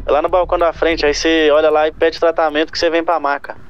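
A man's voice: on the high side, level tone, fast, lo-fi sound, faint music behind. A man with a Brazilian accent, talking casually and in slang with his friends while playing a roleplay game. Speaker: gamer in a group roleplay session. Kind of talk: casual gaming chat with friends.

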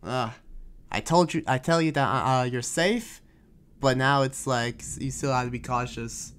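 A man's voice: mid-range voice, moderate pace, clear, resonant tone, natural voice. A man playing a friendly, reassuring but cautious townsman in an improvised anime game roleplay, rambling hesitantly in conversation. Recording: loud background music. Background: music.

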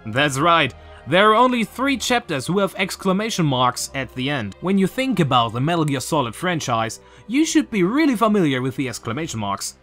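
A young man in his twenties with a German accent, earnestly talking through a game theory from his bedroom, with a few filler pauses. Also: a little high, very animated, a bit bright, soft background music. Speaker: YouTuber. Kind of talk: game theory video commentary. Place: small bedroom with shelves, indoors.

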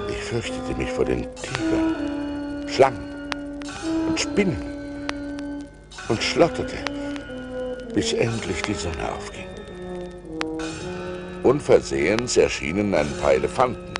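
Deep-voiced seafarer, slow and dramatic. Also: male voice, wildly swooping pitch, loud music behind the voice, full character voice. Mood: fearful, tense, then awed, dramatic. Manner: theatrical, deliberate, swelling with suspense. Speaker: narrator. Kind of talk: dramatic storytelling in an audio play. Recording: vintage vinyl audio play transfer.

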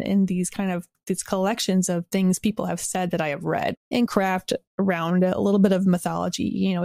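A woman's voice: on the low side, darkish tone, no room sound, studio quality. A woman speaking as a podcast guest in casual interview conversation, reflective and rambling, with a self-deprecating way of talking. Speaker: podcast guest, audio drama creator.

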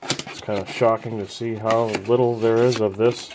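A man hosting a trading card break, casually naming each card as he opens the packs in an unhurried, matter-of-fact way. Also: lowish voice, flat delivery, noisy background. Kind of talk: casual pack-opening commentary.

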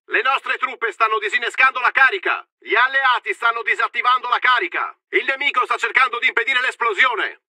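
An actor playing a fervent Italian army officer, urgently rallying soldiers as a war video game's battle announcer. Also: male voice, very high-pitched, dry recording, exaggerated voice. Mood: urgent, commanding. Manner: urgent, forceful, commanding. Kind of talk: shouted military game announcements.

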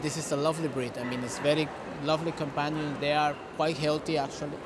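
A man's voice: a little high, little pitch movement, quick pace, very noisy. A middle-aged man with a Costa Rican Spanish accent, a native Spanish speaker, giving conversational interview answers as a dog breeder. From Costa Rica. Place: large busy indoor dog show hall. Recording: busy echoing show hall din. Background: hall ambience and bustle.

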